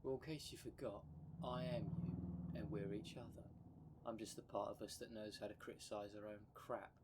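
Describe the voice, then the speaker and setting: Man playing a sardonic, low-pitched impatient demon. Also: steady tone, moderate pace, background noise, natural voice. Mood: needling. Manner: blunt, sardonic, slangy. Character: impatient, sarcastic, instinct-driven half of a dream demon. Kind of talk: ASMR roleplay audio drama.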